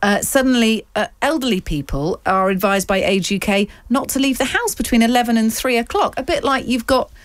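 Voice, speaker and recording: A woman's voice: on the high side, animated, a little fast, few pauses, dry recording. A woman hosting a news talk show, chatty and questioning with many fillers. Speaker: radio host. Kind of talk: casual news chat.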